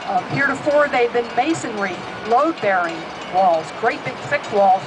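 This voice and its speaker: A woman giving a guided tour commentary over a boat's speaker, explaining at length with frequent "uh" pauses. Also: a little high, animated, music in the background, rough recording. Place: open-air tour boat on a city river. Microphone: public address speaker, recorded from the audience. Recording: loud boat and outdoor noise. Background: boat engine and river ambience.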